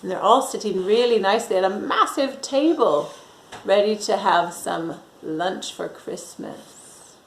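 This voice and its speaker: Woman doing storytime for kids, slow and expressive, draws words out, echoey room. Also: medium pitch, vocal fry, a little hiss or hum. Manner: expressive, asks young listeners questions, draws out words. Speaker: children's storyteller. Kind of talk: animated picture-book read-aloud. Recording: roomy echo.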